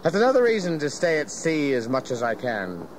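Hearty sea captain, swooping delivery, noisy. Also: male voice, on the high side, huge pitch swings, brisk. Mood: wistful, warm. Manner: jovial, garrulous, folksy. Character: hearty, cantankerous-sea-loving ship captain, folksy and fatherly. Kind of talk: dramatized audio history play. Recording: clear background noise of ship ambience.

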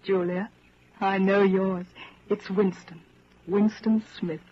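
An actress in a 1940s radio drama playing a bold, rebellious woman in her twenties, brisk, blunt and secretly amorous. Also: mid-range voice, steady tone, slightly rough. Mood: confident, teasing. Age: 20s. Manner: brisk, blunt, matter-of-fact. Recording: muffled, noisy 1940s radio broadcast recording.

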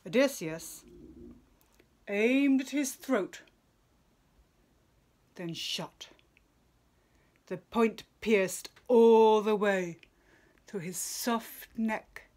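Slow, expressive woman reciting epic verse. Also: mid-range voice. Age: middle-aged. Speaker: translator reading own work. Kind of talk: dramatic literary recitation. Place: small plain room indoors.

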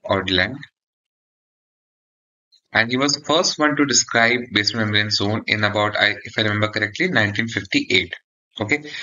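A man's voice: medium pitch, bright, forceful tone, lo-fi sound. A man gives an explanatory medical lecture for exam students, often checking in with a short "okay". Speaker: dermatology lecturer.

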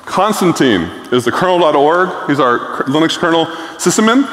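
A man's voice: on the high side, expressive, normal speed, a bit bright. A middle-aged man giving a conference keynote on stage, blunt and enthusiastic, with frequent filler words. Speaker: keynote speaker. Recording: echoey hall reverb.

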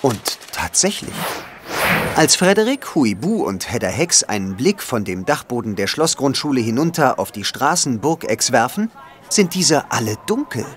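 A man's voice: mid-range voice, big pitch swings, normal speed, a little hiss or hum. A man narrating a children's audio drama as a scripted storyteller.

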